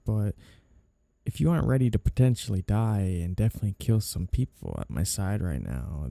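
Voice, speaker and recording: A man playing a flirty, coolly threatening mafia boss in an intimate ASMR roleplay, with a low gravelly voice. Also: dark tone, no room sound. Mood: flirty, serious. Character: flirty, menacing male mafia boss, smooth and sly.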